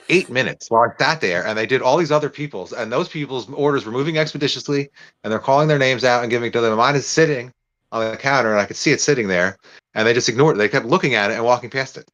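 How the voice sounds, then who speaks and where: A man's voice: medium pitch, fairly bright tone, highs cut off. A man chatting casually with friends on a stream, rambling and swearing as he tells a story. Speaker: podcast co-host. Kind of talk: casual chat among friends.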